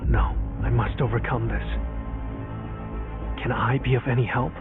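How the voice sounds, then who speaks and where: A man's voice: mid-range voice, fairly even intonation, normal speed, close to an everyday voice. An actor playing a thoughtful, determined young male hunter in a video game, speaking earnestly as if to himself. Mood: pensive, resolute. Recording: phone-like thin sound, loud music behind.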